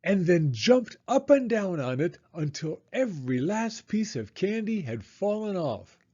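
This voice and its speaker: A man reading a storybook aloud to young children in a deliberate, expressive storytelling way. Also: high-pitched, muffled recording.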